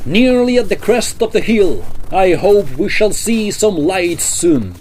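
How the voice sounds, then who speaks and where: A theatrical, courteous gentleman played by a man in a period audio play. He is eager and formal, with a fairly high voice that rises and falls freely. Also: lively intonation, measured pace, a little hiss or hum, low-quality recording. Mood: hopeful. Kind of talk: period audio drama acting.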